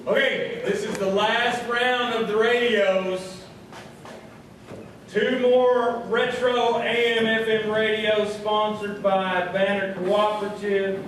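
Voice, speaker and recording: Male live radio show host, folksy, higher-pitched, distant in a strongly reverberant hall. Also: little pitch movement, slow pace, a bit bright. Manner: folksy, addresses the audience as folks. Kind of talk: live variety show hosting, folksy monologue. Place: live show before an audience. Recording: echoey hall, distant mic, faint crowd noise.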